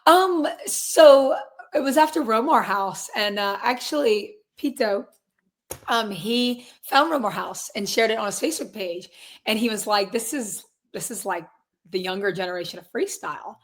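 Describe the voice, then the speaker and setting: A woman in her twenties with a raspy, hoarse voice, chatting casually as a guest musician from a closet at her grandparents' house. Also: a little high, moderate pace. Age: 20s. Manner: upbeat, laughs often, many fillers. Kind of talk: casual interview chat.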